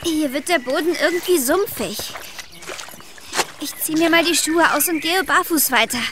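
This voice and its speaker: A woman playing a squeamish, expressive young girl in a children's audio play, exclaiming dramatically. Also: high voice, normal speed, natural voice. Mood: uneasy. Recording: noisy outdoor ambience behind.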